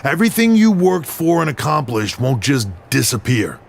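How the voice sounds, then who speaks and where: A man playing a blunt, realistic wrestling mentor with a gravelly voice in a video game. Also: on the high side, very animated, moderate pace, heavily acted. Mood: matter-of-fact. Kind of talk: video game cutscene acting.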